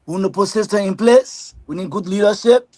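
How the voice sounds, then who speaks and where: Steady man listing points. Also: sits high, fairly quick, no echo, clean studio sound. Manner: list-like, steady, repetitive phrasing. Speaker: panel guest. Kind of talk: prepared statement in a debate.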